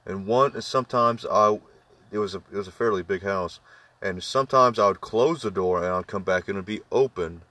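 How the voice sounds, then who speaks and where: Deep-voiced man telling a halting story. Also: bright, forceful tone, no echo, far from the mic. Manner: halting storyteller, many fillers and restarts. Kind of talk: casual ghost story among friends.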